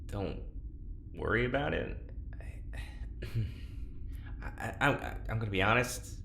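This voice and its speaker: A man playing an awkward, gentle and candid confidant in an audio drama, with a hesitant, stammering delivery. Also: fairly low pitch, lively intonation, takes it slowly, gravelly, reverberant, in a whisper, exaggerated voice. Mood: candid, uneasy.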